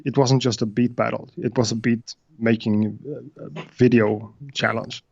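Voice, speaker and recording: A man from Sweden with a Swedish accent, a guest beatmaker chatting casually in an interview over a video call, often pausing with uh and um. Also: mid-range voice, flat delivery, gravelly, darkish tone, muffled recording. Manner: hesitant, many uhs and restarts.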